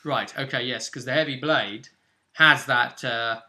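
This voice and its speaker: A man's voice: mid-range voice, a little slow, a crowd in the background. A British man casually recapping a tabletop role-play session as game master, telling it like a story in loose, broken pieces.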